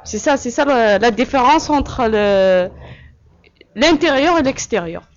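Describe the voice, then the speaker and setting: Young woman, from Algeria, interviewee, slightly high voice, halting plain speech, muffled lo-fi sound. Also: animated. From Algeria (Kabylie, Tizi Ouzou). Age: young adult. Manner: halting, plain-spoken, with many "voilà" fillers. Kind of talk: candid interview answers. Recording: muffled lo-fi recording.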